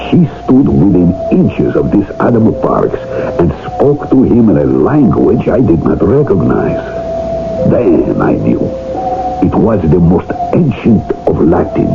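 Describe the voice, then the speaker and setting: A troubled storyteller in a vintage radio mystery. His deep voice swings widely between grave narration and puzzled questions. It comes through narrow, muffled broadcast sound. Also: exaggerated voice. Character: Italian brother Carlo, recounting and puzzled, concerned. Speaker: actor narrating and playing a part. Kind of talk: 1980 radio drama acting.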